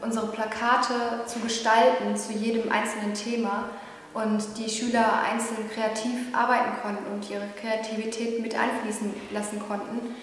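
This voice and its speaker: Flat-voiced young woman in echo. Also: fairly high pitch, moderate pace, slight background noise. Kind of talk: interview answer.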